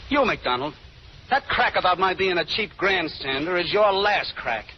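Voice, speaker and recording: Muffled old-radio menacing American gangster. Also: male voice, high-pitched, expressive, normal speed, a little hiss or hum. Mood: sneering threat. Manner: curt, tough, commanding. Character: menacing, jealous male gangster, bossy and sneering. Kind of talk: 1930s radio drama acting.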